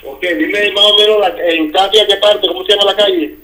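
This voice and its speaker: A man's voice: high voice, steady tone, brisk, sharp tone. A man with a Venezuelan accent in a casual phone conversation as a repairman, heard over a phone line. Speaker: air-conditioning repairman.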